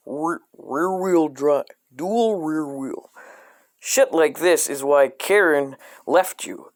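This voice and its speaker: A man reading a dark comic coloring book aloud in a deadpan way, breaking off into profane asides. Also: fairly high pitch, no echo.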